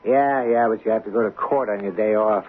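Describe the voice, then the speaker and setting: A man's voice: on the low side, brisk, natural voice. An actor playing a tough, honest city policeman in a radio drama, blunt and sardonic. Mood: relaxed, griping. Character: tough, honest, plain-spoken city beat cop. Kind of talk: old-time radio drama acting. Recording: tinny, noisy radio broadcast recording.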